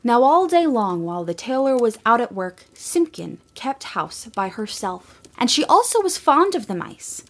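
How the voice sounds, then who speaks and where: A woman narrating a storybook tale for an audio drama in a measured, gentle way. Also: fairly high pitch, very animated.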